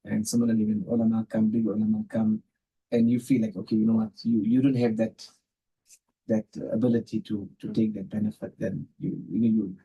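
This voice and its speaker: Husky, mellow South African man. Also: on the low side, poor audio. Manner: emphatic, repeats phrases for emphasis. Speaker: Islamic scholar, podcast guest. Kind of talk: conversational religious reflection.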